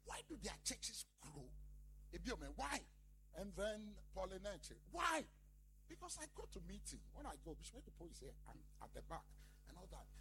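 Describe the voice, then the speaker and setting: A man's voice: high voice, sing-song, normal speed, sharp tone, slight background noise. A man preaching a sermon as pastor, emphatic and calling out to his congregation. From Ghana. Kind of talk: lively sermon.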